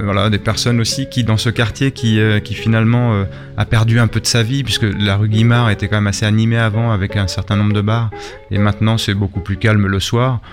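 A middle-aged man being interviewed in a radio studio, talking conversationally and often repeating words as he searches for his phrasing. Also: lowish voice. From Spain and Belgium, lived in France. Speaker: interview guest, shop and gallery owner, artist. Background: guitar music playing behind the voice.

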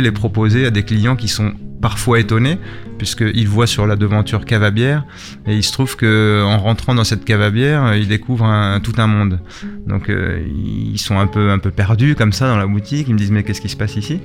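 A middle-aged man being interviewed in a radio studio, talking conversationally and often repeating words as he searches for his phrasing. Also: on the low side. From Spain and Belgium, lived in France. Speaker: interview guest, shop and gallery owner, artist. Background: guitar music playing behind the voice.

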